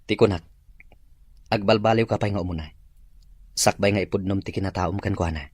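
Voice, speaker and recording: An actor playing a steady young man who gives his friend advice, in a radio drama. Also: low-pitched, brisk, husky, no room sound. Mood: calm. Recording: radio drama with music bed.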